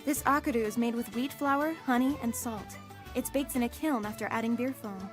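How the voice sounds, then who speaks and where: A woman's voice: fairly high pitch, brisk, music in the background, close to an everyday voice. A woman playing a courteous palace attendant in a comic dub, giving patient, orderly instruction. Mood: courteous, informative.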